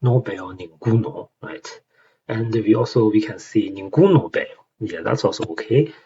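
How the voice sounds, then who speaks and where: Young Chinese-accented man, lowish voice, casual explainer full of fillers; dull lo-fi mic with faint hiss. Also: animated, moderate pace, warm tone. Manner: hesitant, many fillers and rhetorical "right?" checks. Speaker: language learner explaining song lyrics.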